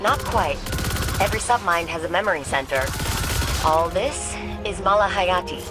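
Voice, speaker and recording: A woman's voice: lowish voice, lively intonation, normal speed, over loud music, natural voice. An actress playing a confident, wisecracking female tech expert in a video game, talking casually and quippily. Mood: focused, wry. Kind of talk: scripted game dialogue.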